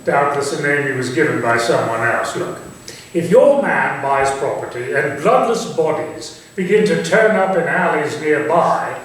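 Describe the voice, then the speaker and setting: A man's voice: a little high, lively intonation, unhurried. Man playing urbane Victorian nobleman, echoey and theatrical. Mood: dry, probing. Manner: articulate, theatrical, dryly probing. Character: urbane, teasing Victorian English nobleman, proud of his name. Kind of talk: staged reading of a Victorian stage thriller. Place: indoor stage at a staged reading. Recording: very echoey room, distant mic, hissy noise.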